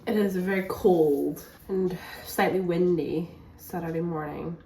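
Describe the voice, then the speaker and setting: A woman's voice: lowish voice, fairly even intonation, unhurried, creaky, warm tone, a little hiss or hum. A woman vlogging at home in a casual, rambling way, with a stuffy cold. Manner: chatty, rambling with many fillers. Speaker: book vlogger. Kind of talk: casual vlog chat. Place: home kitchen. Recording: echoey room, mic at a distance.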